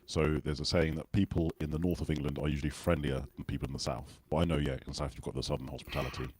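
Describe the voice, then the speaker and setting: A man's voice: extremely low pitch, flat delivery, rapid-fire, a little mellow, breathy edge. A man from the UK hosting a casual video call livestream, jokey and self-deprecating. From United Kingdom. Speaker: host.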